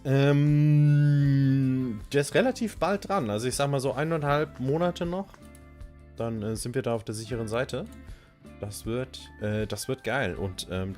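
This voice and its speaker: A man's voice: medium pitch, a little mellow. A man hosting a live stream, chatting casually and thinking aloud with fillers. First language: German. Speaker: streamer and show host. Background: faint music.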